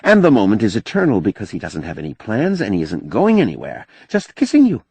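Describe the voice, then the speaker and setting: A man voicing a frank, dreamy, teasing woman in an audiobook, with emphatic, drawn-out delivery. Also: lowish voice, huge pitch swings, gravelly, mellow, husky, dry recording, heavily acted. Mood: dreamy, admiring. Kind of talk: audiobook character dialogue.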